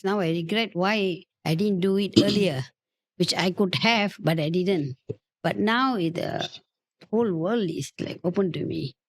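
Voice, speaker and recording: Cheerful older woman, slightly low voice. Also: no room sound. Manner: cheerful, carefree, eager. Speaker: guest. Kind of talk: candid conversation.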